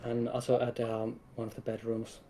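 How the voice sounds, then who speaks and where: Mellow man with fairly flat intonation. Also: mid-range voice, moderate pace. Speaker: game developer, livestream guest. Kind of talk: casual explanatory chat. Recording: some background noise.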